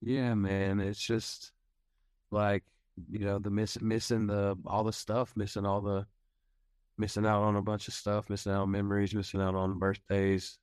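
A man in his thirties, a guitarist guest, speaking haltingly and repeating phrases. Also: on the low side, monotone, a little mellow, clear, resonant tone, no room sound, clean studio sound. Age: 30s. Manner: halting, repeats himself as he searches for words. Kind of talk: casual interview.

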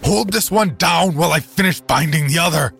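A zealous exorcist priest, voiced by a man reading the story, barking orders in a forceful, commanding way. Between his shouts he drops into slow, grave statements, and soft music plays behind him. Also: deep voice, little pitch movement.

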